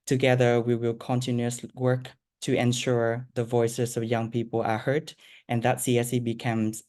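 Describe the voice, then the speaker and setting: A man reading formal opening remarks at a project launch in a steady, formal way. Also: mid-range voice. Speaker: project lead partner speaker.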